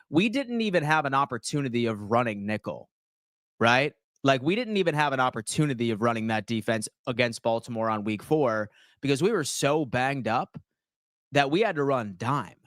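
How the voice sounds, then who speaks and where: A man, hosting a casual sports livestream and answering viewers' comments in slangy, animated talk. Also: mid-range voice, no echo, clean studio sound. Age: 30s. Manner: slangy, animated, reads chat aloud and answers.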